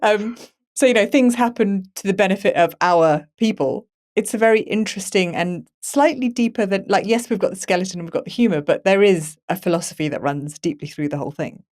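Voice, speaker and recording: A woman's voice: medium pitch, a little fast, darkish tone. A woman hosting a casual discussion, speaking in an enthusiastic, opinionated way. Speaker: podcast host. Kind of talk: casual discussion of science fiction.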